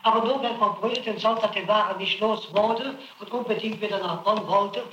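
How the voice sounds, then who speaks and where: A man's voice: high-pitched, brisk, sharp tone, roomy sound, lo-fi sound, slight background noise. A man under interrogation, giving defensive and evasive answers on an archival tape.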